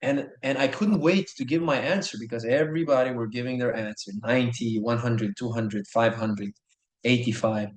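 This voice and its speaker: A man's voice: medium pitch, normal speed. A man in his thirties from Norway, telling his story as a podcast guest in an earnest, enthusiastic way, often repeating himself. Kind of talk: casual storytelling. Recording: echoey room.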